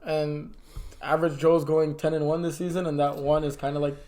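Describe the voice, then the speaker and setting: Young male co-host, rapid confident delivery, a touch high, playful ribbing. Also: little pitch movement, warm tone. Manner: quick, confident, teasing. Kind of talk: casual sports recap chat.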